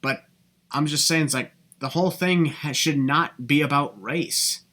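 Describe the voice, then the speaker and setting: A man's voice: fairly high pitch, unhurried, pushed and bright. A young man hosting a casual podcast discussion, talking emphatically and circling back to the same phrase to hold the floor. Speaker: podcast host.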